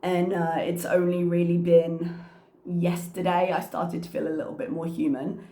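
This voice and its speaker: A congested woman with a slight cough talks casually to her vlog audience, her voice sitting a little low. She rambles from one story to the next in a chatty, easygoing way. The sound bounces around an echoey room. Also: level tone, normal speed, dark tone. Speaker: teacher and channel host.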